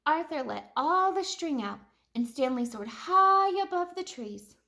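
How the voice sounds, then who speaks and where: A woman narrates a children's story as a read-aloud. Her voice sits high. She moves widely in pitch as she tells it, in an expressive storytelling style. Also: measured pace, reverberant. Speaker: storybook reader.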